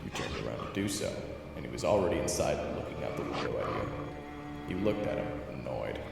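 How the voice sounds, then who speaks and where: A man delivers dramatic scripted fantasy narration in a lowish, gravelly voice. His intonation is very lively. The recording is heavily echoey with long reverb, and game-style music plays clearly behind him. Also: a little fast. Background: game-style background music.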